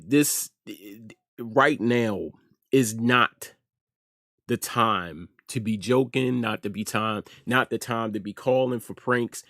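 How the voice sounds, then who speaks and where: A man's voice: mid-range voice, a bit bright, dry recording, clean studio sound. A middle-aged American man hosting an informal opinion commentary, speaking emphatically and repeating phrases for effect. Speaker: host of an online news commentary show.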